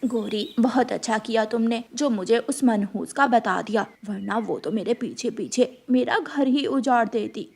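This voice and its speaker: Woman as a high-voiced, snappish stepmother bird. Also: a little slow, gravelly, few pauses, darkish tone. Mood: spiteful. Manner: scolding, snappish. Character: harsh, hot-tempered stepmother bird.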